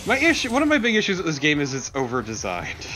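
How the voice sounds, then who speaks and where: A man's voice: on the high side, wildly swooping pitch, fast, sharp tone, soft background music. A man co-commentating on a video game in casual, blunt, opinionated chat with some swearing. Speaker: gaming let's play co-commentator.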